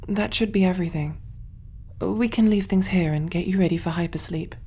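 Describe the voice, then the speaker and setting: A woman playing a kind, motherly alien surgeon in a soft-spoken ASMR roleplay, gentle and reassuring, breathy and often whispery, musing aloud as she works. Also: fairly low pitch, brisk.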